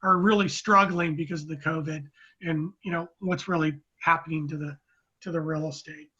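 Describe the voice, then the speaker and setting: A man in his fifties from Oakland, a guest telling his story in a rambling, measured way with many restarts. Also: a little high, fairly even intonation, bright, forceful tone, breathy edge, lo-fi sound. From Oakland, California, US. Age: 50s. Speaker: guest, real estate economist. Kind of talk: casual interview talk.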